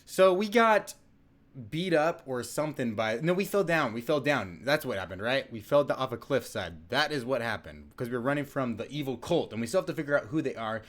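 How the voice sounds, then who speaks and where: A man's voice: medium pitch, expressive, a little fast, a bit bright. Rambling young man narrating a game. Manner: rambling, chatty, many fillers. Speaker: gaming channel host. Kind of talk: casual let's-play commentary.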